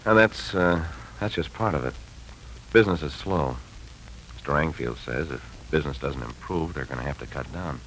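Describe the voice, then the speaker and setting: An actor playing a boyish, anxious, affectionate young husband, earnest and hesitant in 1940s radio drama style. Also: male voice, very deep voice, moderate pace, a bit bright, a little hiss or hum, rough recording. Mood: worried. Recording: vintage radio recording with music.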